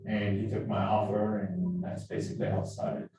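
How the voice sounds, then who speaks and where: A man's voice: fairly low pitch, flat delivery, normal speed, mellow. A man from Lebanon, a company founder and chief executive, telling his story casually in a promotional interview. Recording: very echoey, distant playback, faint music.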